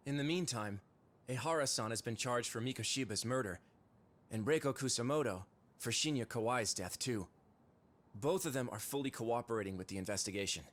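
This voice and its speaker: A man's voice: medium pitch, a little fast, a bit bright, lightly acted. An actor playing a businesslike male subordinate in a video game, giving reports in a formal, matter-of-fact way. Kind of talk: English video game dub, cutscene acting.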